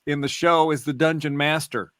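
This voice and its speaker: Bright, higher-voiced man chatting casually. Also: fairly even intonation, moderate pace, no echo. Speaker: podcast co-host. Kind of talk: casual chat.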